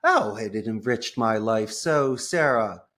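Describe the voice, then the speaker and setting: A man's voice: lowish voice, measured pace. Enthusiastic American man telling his story. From United States. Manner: enthusiastic, expressive, colorful phrasing. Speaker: interview guest. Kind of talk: conversational personal storytelling.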